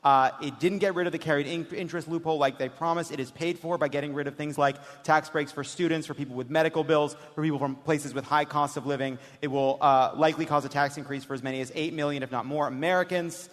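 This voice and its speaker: A man's voice: on the high side, fairly even intonation, fast, hardly any pauses. A man hosting a live comedic political panel, joking as he explains things. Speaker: podcast host. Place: large hall on stage. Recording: echoey hall, live stage.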